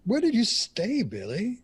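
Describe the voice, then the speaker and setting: A man's voice: sits high, slightly rough, full character voice. A man acting in an audio drama as a polite, probing 1960s radio interviewer.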